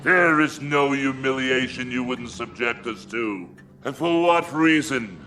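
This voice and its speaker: A man's voice: mid-range voice, a little slow, sharp tone, a little hiss or hum. An actor playing a resentful, greedy middle-aged son-in-law in a radio drama, formal and aggrieved in his speech. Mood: angry, accusing. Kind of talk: dramatic radio play acting.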